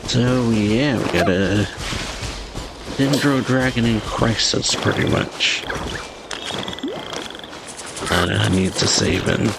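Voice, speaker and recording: A man's voice: fairly low pitch, slow pace, vocal fry, long pauses, background noise. A man giving laid-back, casual let's play commentary, musing aloud in short fragmentary remarks. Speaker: gaming channel host. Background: game music.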